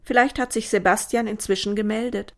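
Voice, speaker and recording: A woman voicing a bewildered, anxious modern girl in an audiobook, speaking in an agitated, halting way. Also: mid-range voice, pitched above the performer's own voice, natural voice. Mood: flustered.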